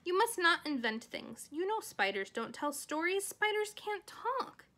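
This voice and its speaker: A woman's voice: sits high, lively intonation, normal speed, a bit bright, voice pushed up. A woman voicing a stern, worried mother with a firm, exasperated way of talking.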